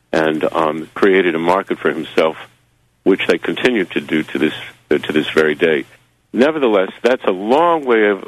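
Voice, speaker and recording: A man, a radio interview guest who talks at length in a rambling, halting way with many fillers. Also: low-pitched, no echo, rough recording.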